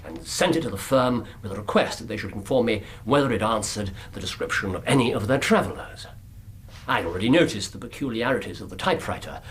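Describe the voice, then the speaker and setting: Coolly analytical English detective, animated pitch. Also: male voice, slight background noise. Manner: precise, measured, theatrical explaining. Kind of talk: radio drama acting.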